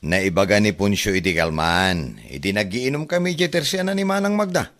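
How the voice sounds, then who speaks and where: A man with an Ilocano accent acting a gossipy, animated neighbourhood rumour-spreader in a radio comedy drama. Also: fairly low pitch, a little fast. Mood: gossipy, scornful.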